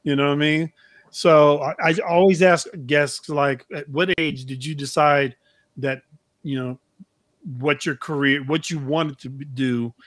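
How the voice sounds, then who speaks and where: A middle-aged man hosting a casual interview over a video call, circling toward his questions in halting, roundabout phrases. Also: on the high side, steady tone, a bit bright, dry recording. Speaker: interview host. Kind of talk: casual chat. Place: indoor room, video call.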